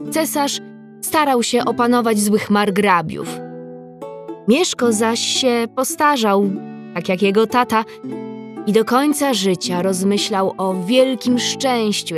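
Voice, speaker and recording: A woman's voice: mid-range voice, expressive, measured pace, music in the background. A woman narrating a scripted story for children, explaining things directly to young listeners.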